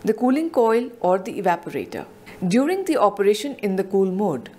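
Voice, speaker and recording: A woman reads a scripted technical narration with a measured, explanatory delivery. Her voice sits at a middle pitch. It is a clean studio voice-over read. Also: darkish tone.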